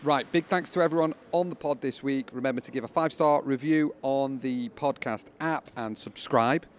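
A man presenting a scripted sports podcast in a brisk, upbeat way, over a music bed. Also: mid-range voice. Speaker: podcast host.

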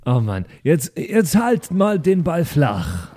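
A man's voice: on the high side, huge pitch swings, slow, mellow. A man taking part as a player in an improvised tabletop role-playing show, chatting casually. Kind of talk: casual improvised game chat.

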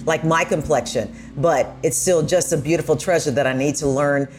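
A woman's voice: low-pitched, normal speed, a little mellow, faint music behind. A woman guest on a podcast reflecting conversationally in an earnest, encouraging way.

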